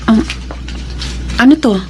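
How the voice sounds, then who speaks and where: An actress playing a wary, concerned loyal friend in a Filipino radio drama, with a questioning delivery. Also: female voice, slow, dark tone, slight background noise, close to an everyday voice. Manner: questioning, guarded.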